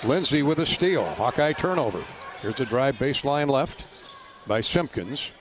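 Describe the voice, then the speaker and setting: A man's voice: medium pitch, expressive, normal speed. An American man working as a radio announcer, giving live play-by-play commentary of a basketball game. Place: basketball arena.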